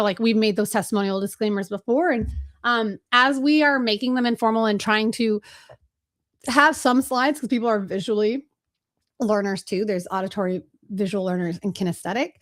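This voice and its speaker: A woman from Chicago hosting a live marketing webinar, energetic and direct, talking fast with lots of fillers and asides to her team. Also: a little high. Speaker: webinar host and agency trainer.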